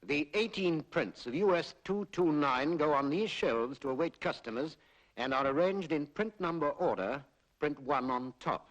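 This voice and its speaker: A British man narrating a 1940s public information film in a formal, measured, explanatory way, on a period film soundtrack. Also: a little high, lively intonation, rough recording. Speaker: documentary narrator.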